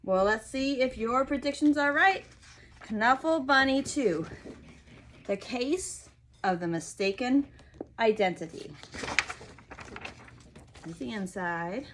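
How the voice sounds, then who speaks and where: A woman reading a picture book aloud to young children, expressive and often pausing to ask them questions. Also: a little high, a little hiss or hum. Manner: expressive, prompting listeners with questions.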